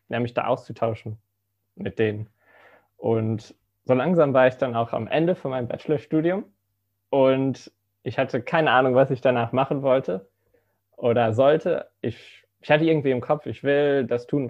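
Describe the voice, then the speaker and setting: A man's voice: mid-range voice, vocal fry, highs cut off. A young man in his twenties, raised in the Philippines, giving a hesitant personal testimony full of fillers. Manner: hesitant, many fillers, soft-spoken. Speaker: student ministry intern giving a testimony.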